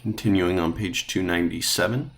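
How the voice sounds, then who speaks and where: Low, gravelly man guiding a read-along. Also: brisk. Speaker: teacher leading a read-along. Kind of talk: casual classroom read-along guidance.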